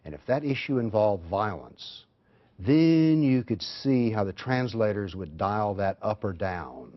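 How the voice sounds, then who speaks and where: A male guest with a fairly low voice, speaking in a measured, earnest way as he answers questions. The sound is slightly dull and lo-fi. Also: expressive. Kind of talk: interview answers.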